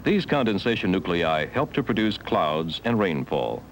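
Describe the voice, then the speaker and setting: A man with a low voice narrates a 1970s educational film. His delivery is formal and measured, with lively rises and falls in pitch as he instructs. The old film soundtrack carries a faint layer of noise. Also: poor audio. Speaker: documentary narrator.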